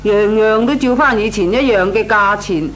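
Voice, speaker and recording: An actress playing a blunt, practical, pushy aunt in a Cantonese comic radio drama, emphatic and matter-of-fact. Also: female voice, mid-range voice, normal speed, natural voice. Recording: noisy, humming radio recording.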